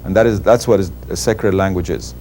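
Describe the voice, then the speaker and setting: Low mellow man. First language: Persian. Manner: measured, lecturing. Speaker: interviewed scholar. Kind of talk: explanatory interview answer.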